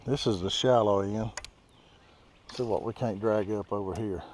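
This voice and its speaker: A man's voice: on the low side, moderate pace, a little mellow, a little hiss or hum. A man, talking folksy and casual to his viewers while fishing outdoors on the water. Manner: folksy, talks straight to viewers. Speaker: fishing vlogger.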